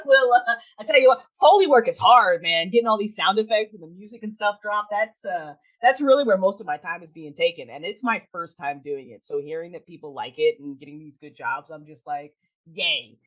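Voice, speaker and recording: A woman speaks as the guest in a casual interview. Her voice sits at a middling pitch. She talks in an informal, animated way and leans on words for emphasis. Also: a little fast. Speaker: podcast guest, audio drama creator.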